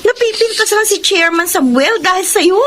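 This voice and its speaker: A woman's voice: very high voice, lively intonation, moderate pace, a bit bright, slight background noise. An actress playing an aloof, principled young woman with a Filipino accent, frank and disapproving in a radio drama. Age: young adult. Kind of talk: Tagalog radio drama acting.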